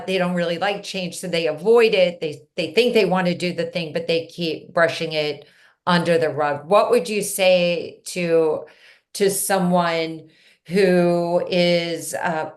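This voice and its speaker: A woman hosting an interview, asking long casual questions with restarts and fillers. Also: on the low side. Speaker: host.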